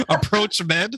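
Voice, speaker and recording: A man's voice: high voice, slightly rough, no echo. Easygoing male interview host, dry recording, asks rambling questions. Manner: relaxed, laughs easily, asks long questions. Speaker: show host. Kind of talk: conversational interview.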